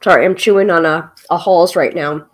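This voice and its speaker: A woman's voice: on the low side, brisk. Congested chatty woman on a live stream. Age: 40s. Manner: chatty, laughs often, many ums. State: congested, froggy voice. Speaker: tarot reader hosting a live show. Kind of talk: casual live-stream chat.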